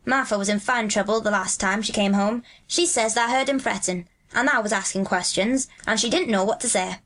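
A woman's voice: medium pitch, fairly quick, a bit bright, natural voice. Woman voicing an earnest Yorkshire country boy. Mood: confiding. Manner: rustic dialect, homely and reassuring.

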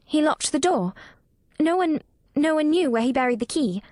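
A woman's voice: sits high, lively intonation, moderate pace, darkish tone, no echo, studio quality, pitched above the performer's own voice. A woman reading an audiobook, voicing a curious ten-year-old girl who answers carefully and a little nervously. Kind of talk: audiobook character voice.

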